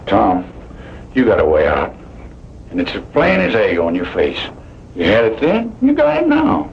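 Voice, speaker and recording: Folksy, creaky-voiced cowboy sidekick. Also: male voice, lowish voice, huge pitch swings, normal speed, slight background noise, full character voice. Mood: wry, urging. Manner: drawling rural cowboy speech, wry. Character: folksy, talkative, worrying old-West sidekick, male. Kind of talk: old-time radio western acting. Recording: muffled old radio broadcast, music behind.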